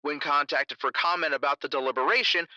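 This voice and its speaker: A man's voice: on the high side, brisk, pushed and bright, no echo, dull audio. An actor playing a male radio news reporter reading a bulletin in an audio drama. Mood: matter-of-fact.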